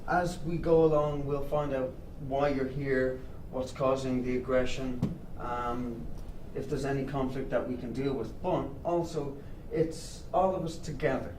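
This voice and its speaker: A man's voice: medium pitch, fairly even intonation, a little slow, hardly any pauses, lightly acted. An actor playing an earnest male therapist who leads an anger-management group and talks with many hesitant fillers. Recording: echoey room, distant mic, noisy.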